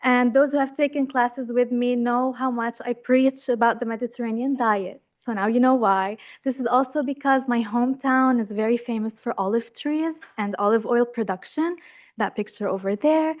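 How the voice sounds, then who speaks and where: A woman's voice: on the high side, normal speed, lo-fi sound. A young woman from Lebanon gives a friendly, enthusiastic seminar talk, telling the story of her own studies. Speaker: graduate student presenter. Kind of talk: seminar presentation.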